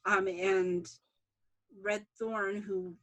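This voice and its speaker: A woman speaks at a very unhurried pace in a casual interview. She explains her creative work informally, as the creator answering questions, with a mid-range voice. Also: medium pitch, level tone, fairly bright tone. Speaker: series creator being interviewed.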